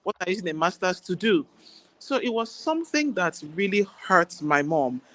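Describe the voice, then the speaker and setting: A woman with a Nigerian accent, an Igbo speaker, talking as a guest doctor in a relaxed interview, chatty and quick to laugh. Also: fairly low pitch. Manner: warm, chatty, laughs easily. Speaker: guest, general practitioner. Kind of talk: casual interview chat.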